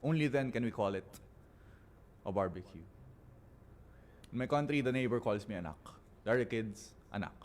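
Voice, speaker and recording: A man with a Filipino accent performing spoken word poetry as a guest, in deliberate, dramatic phrases with weighted pauses. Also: mid-range voice, slight background noise. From Philippines.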